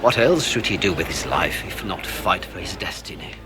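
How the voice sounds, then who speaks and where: A man's voice: deep voice, animated, normal speed, background noise. An actor playing a weary, grave older nobleman in a video game. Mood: rueful. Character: older male nobleman, uncle of a grand duke, weary and grave. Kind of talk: video game dialogue acting. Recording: game audio under loud music. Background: loud music.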